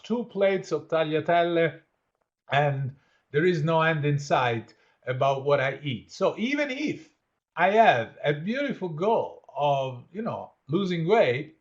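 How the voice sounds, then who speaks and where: A man's voice: a little high, dull audio. A man with an Italian accent, born in Italy, leading an online training session and praising participants' answers. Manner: encouraging, repeats "absolutely", reads out participants' answers. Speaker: workshop trainer.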